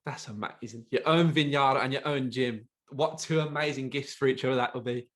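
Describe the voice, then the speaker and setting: A man's voice: mid-range voice, brisk. A man hosting a podcast, asking questions eagerly and chipping in short affirmations. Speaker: podcast host. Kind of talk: casual interview chat.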